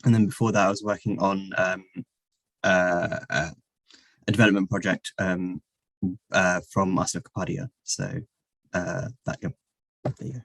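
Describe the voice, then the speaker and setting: A young man chatting casually on a panel, relaxed and hesitant, with many fillers. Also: sits low, moderate pace, vocal fry. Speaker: alumnus of a documentary training scheme.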